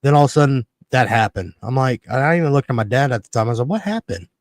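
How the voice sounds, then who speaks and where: Thirties male host, animated and profane, mid-pitched voice, dry recording. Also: quick pace, dry recording. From Connecticut, USA. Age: 30s. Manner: excitable, swears freely, laughs often. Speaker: podcast host. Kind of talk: casual wrestling chat.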